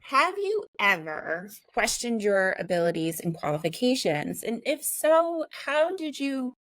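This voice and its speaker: A woman's voice: on the high side, big pitch swings, unhurried, a bit bright, no room sound. A woman hosting an interview in an informal, conversational way, affirming what her guest says. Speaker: interview host.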